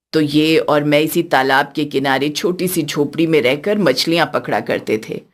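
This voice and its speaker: A woman's voice: low voice, pitched below the performer's own voice. A woman voicing a stern, weary older mother-in-law in a cartoon story, firm and admonishing. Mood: solemn, stern. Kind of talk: Hindi cartoon story acting.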